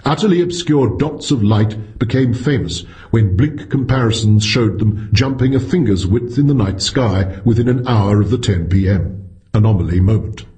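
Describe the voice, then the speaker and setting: A man's voice: fairly low pitch, moderate pace, mellow. A man narrating a science fiction short story as a scripted audiobook.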